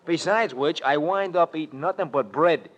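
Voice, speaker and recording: An actor playing a wisecracking, slangy New sidekick in a 1940s radio detective drama. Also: male voice, a little high, moderate pace, muffled recording, close to an everyday voice. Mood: grumbling, wisecracking. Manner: slangy, mock-formal wisecracks. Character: wisecracking, streetwise American sidekick in a detective serial. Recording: old radio recording.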